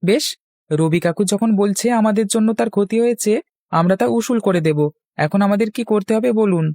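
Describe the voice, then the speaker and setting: A man's voice: high-pitched, fairly quick, a little mellow, no room sound, clean studio sound. A man voicing a resolute, scheming young village man in a cartoon. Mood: challenging. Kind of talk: Bengali cartoon voice acting.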